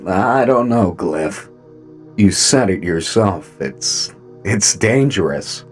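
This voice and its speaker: Grave robot leader for audio drama, man performing; deep voice, unhurried and deliberate, cautious authority. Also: expressive, faint music behind. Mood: reluctant, concerned. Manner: deliberate, measured.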